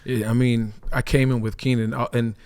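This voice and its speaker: A man's voice: mid-range voice, little pitch movement, normal speed, darkish tone, clean tone. A man in casual sports podcast chat as an analyst, conversational and often seeking agreement with short tag questions. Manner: conversational, often checks in with "right?". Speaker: sports analyst, former pro player.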